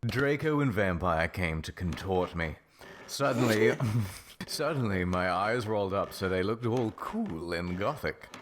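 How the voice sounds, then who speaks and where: A man's voice: deep voice, expressive, measured pace, a little hiss or hum. A man reading fan fiction mock-seriously as a melodramatic, sulky, foul-mouthed teenage goth girl narrator.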